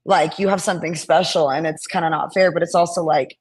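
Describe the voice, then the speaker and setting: A young adult woman with a raspy voice, a musician guest talking earnestly and rambling in a casual interview over a laptop call. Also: low-pitched, fairly even intonation, fairly quick. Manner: earnest, rambling with many fillers. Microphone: laptop on a video call.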